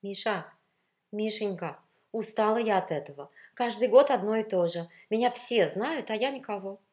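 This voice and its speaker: A woman's voice: medium pitch, sing-song, moderate pace, pitched above the performer's own voice, close to an everyday voice. Woman acting a plaintive, weary, elegant lady. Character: tired, beloved elegant woman pleading with her man.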